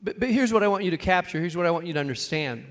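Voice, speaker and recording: A man preaching a sermon as a pastor, speaking in short, weighted phrases with dramatic pauses and emphatic repetition. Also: sits high, animated.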